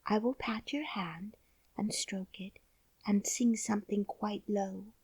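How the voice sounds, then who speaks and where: A woman's voice: on the high side, slow, a little mellow, dry recording, pitched above the performer's own voice, rough recording. A woman voicing an earnest, outspoken young girl in a storybook reading.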